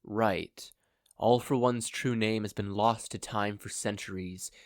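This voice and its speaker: A performer voicing a teasing male ghost who pauses for dramatic effect. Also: mid-range voice, steady tone, no room sound, studio quality, natural voice. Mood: teasing, mysterious.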